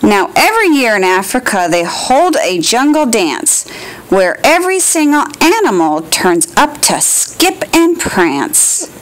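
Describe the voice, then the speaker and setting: A woman reading a picture book aloud to her young niece at home, in an animated, sing-song way and stopping to point out the pictures. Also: a little high, measured pace, poor audio.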